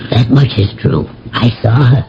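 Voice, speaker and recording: Male actor as devoted ancient household slave, thoughtful and formally dramatic, mid-pitched, on a dull old radio play recording. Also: animated, measured pace, slight background noise, close to an everyday voice. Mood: grave, urgent.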